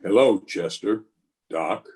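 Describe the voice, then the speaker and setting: A man acts as a steady western marshal in an old-time radio drama. His voice is on the low side, and he speaks in short, measured lines with a calm, plain manner. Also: slight background noise. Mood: matter-of-fact. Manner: measured, laconic.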